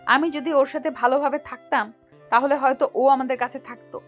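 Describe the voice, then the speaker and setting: A woman playing a lovesick, remorseful younger sister in an audio love story, speaking softly and earnestly in a pleading way. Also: high-pitched, fairly even intonation, a little fast, faint music behind, natural voice. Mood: hurt, earnest.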